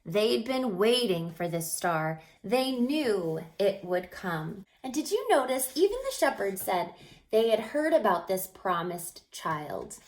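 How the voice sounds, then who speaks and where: A woman reading a children's story aloud with dramatic expression, stretching words and dropping into hushed passages. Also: mid-range voice, big pitch swings, slow pace, poor audio. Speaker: storybook reader.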